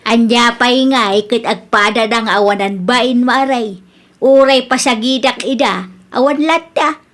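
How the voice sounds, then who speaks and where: Plaintive, high-voiced aging Ilocano mother. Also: unhurried, fairly bright tone. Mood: aggrieved. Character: long-suffering aging mother, put upon at home. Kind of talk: Ilocano radio drama acting.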